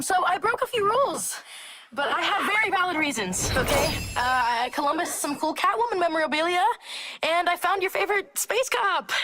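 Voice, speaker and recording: A cocky young woman livestreamer and vigilante, high and lively in pitch. She is chatty, playful and slangy, with a sarcastic streak, as in a game cutscene. There is clear noise behind her. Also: heavily acted. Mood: awkward, pleading. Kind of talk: casual livestream-style video game cutscene acting.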